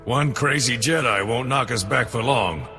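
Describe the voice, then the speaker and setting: A man's voice: medium pitch, moderate pace, close to an everyday voice. A man playing a blunt, grateful security chief in a game, plain-spoken in manner. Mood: businesslike. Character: gruff but grateful male security chief. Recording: long reverb, faint music.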